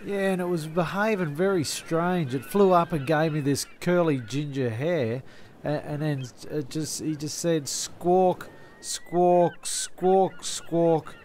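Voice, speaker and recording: A man's voice: on the high side, slow, a little mellow, a little hiss or hum. A man in casual comic role-play. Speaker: comedy podcast cast member.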